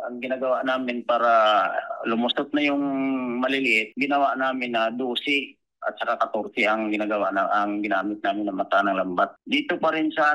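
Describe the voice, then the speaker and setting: Bright-voiced Filipino man, halting and polite. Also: mid-range voice, poor audio. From Philippines. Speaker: commercial fisherman, interview guest. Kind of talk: conversational interview answers.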